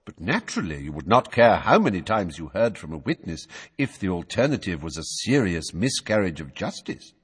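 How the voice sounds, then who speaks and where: A man voicing a calm, courteous old wizard who speaks in a measured, coolly polite way, with long hall reverb. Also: deep voice, breathy edge, full character voice.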